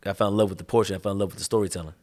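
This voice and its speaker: A man's voice: sits low, fairly even intonation, racing, a little mellow. A young man from the Chicago area, a rapper talking casually in an interview, laid-back and slangy, often saying "you know what I'm saying". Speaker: rapper guest.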